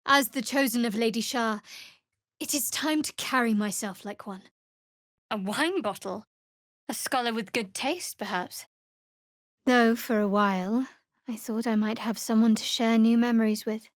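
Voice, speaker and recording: An actress voicing a guarded, devout dark cleric woman in a video game, with a dry, sardonic, measured delivery. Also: fairly high pitch, no room sound, lightly acted. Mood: reserved, devout. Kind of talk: video game character voice acting.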